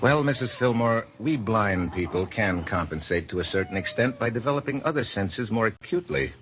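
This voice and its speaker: An actor playing a blind detective captain, composed and courteous, with a measured, wry delivery in old-time radio drama style. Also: male voice, lowish voice, expressive, fairly bright tone. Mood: courteous, guarded. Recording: old radio broadcast with music behind, muffled.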